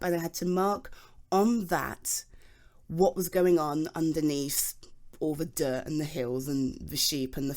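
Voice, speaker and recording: A woman's voice: lowish voice, a little mellow. A British woman playing an enthusiastic, self-deprecating geology nerd in an ASMR roleplay, rambling hesitantly as if chatting to a listener. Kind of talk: ASMR voice roleplay, improvised one-sided conversation.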